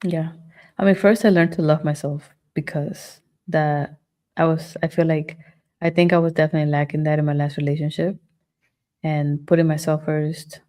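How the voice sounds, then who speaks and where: Low, mellow-voiced woman. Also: flat delivery, normal speed. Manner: reflective, candid. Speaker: podcast guest. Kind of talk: casual chat.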